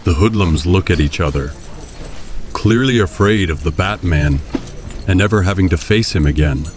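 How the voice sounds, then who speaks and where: A man's voice: sits low, moderate pace. A man reading scripted narration as the narrator of an audio drama. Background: music.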